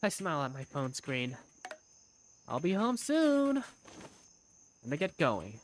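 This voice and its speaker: A woman's voice: sits low, big pitch swings, slow pace, a little hiss or hum, heavily acted. A woman voicing an easygoing young male bar waiter who narrates his day in a visual novel fan dub. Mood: easygoing, wry.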